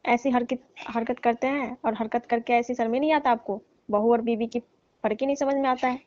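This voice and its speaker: A woman acts out a young daughter-in-law in a staged comic phone call. She speaks in a high voice with teasing mock reproach, on slightly muffled, lo-fi audio. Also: steady tone, brisk, a little mellow, close to an everyday voice. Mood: reproachful, teasing.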